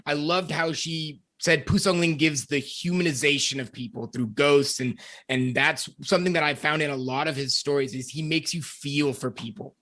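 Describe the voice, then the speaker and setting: A man's voice: on the high side, steady tone, normal speed, few pauses, pushed and bright. A man talking enthusiastically and in a rambling way as a panelist in a podcast discussion.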